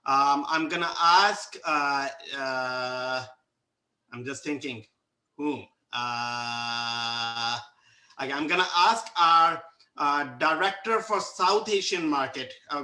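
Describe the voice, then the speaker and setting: A man's voice: a little high, slow, sharp tone. A man hosting a company webinar, speaking hesitantly with many fillers and restarts. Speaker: webinar host. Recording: echoey room.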